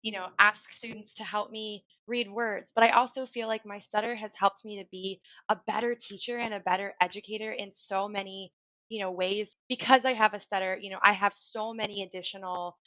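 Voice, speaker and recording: Stuttering woman with a bright voice. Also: medium pitch, fairly even intonation, a little fast. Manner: stutters, with repeated words and restarts. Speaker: elementary school teacher, guest. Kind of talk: casual podcast conversation. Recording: dull lo-fi audio.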